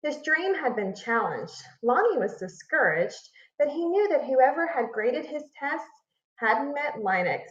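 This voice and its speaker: A woman reading a children's picture book aloud like a teacher, expressive and deliberate, leaning on key words. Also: high voice. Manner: expressive, deliberate storytelling with emphasis. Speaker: read-aloud narrator, teacher. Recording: echoey room, slightly muffled sound.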